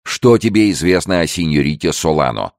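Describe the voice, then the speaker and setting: An impatient, hot-tempered young man, as a male audiobook reader puts him on. He almost shouts his demands in a gravelly voice. The sound is dry and close. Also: extremely low pitch, lively intonation, brisk, no echo, pitched above the performer's own voice. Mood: urgent.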